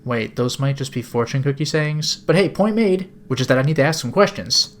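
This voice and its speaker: A man's voice: medium pitch, brisk, lightly acted. A man voicing a drowsy, sarcastic young woman held captive in a video game, in a wry, exasperated inner monologue. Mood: sarcastic, resolute. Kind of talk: video game dialogue read-aloud.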